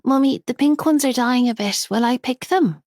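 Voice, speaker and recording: A woman's voice: sits high, normal speed, darkish tone, dry recording, studio quality, voice pushed up. A woman voicing a small, frightened young girl who speaks earnestly and insistently. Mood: chatty.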